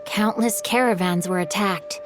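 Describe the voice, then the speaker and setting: Casting: actress as studious, courteous young lad for a game, measured and articulate delivery, music underneath. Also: female voice, medium pitch, close to an everyday voice. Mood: earnest, explanatory. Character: polite, bookish young boy scholar who loves chivalric novels. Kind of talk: video game character acting.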